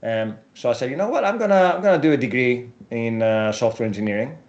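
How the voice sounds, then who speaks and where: A man in his forties whose first language is Greek, a guest telling his career story in a casual interview with animated asides. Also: medium pitch, fast, dull audio. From Europe.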